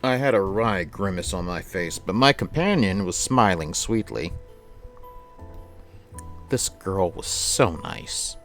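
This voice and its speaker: A man hosting a live game stream, chatting with viewers and reading visual novel narration aloud in an animated, chatty way. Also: deep voice, slow, soft background music.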